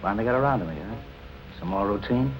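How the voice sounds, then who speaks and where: A man's voice: lowish voice, lively intonation, fast, background noise, close to an everyday voice. An actor playing a cool, wry tough-guy suspect with slangy, casual 1940s film noir delivery. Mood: wry, defensive. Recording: vintage film soundtrack, faint music.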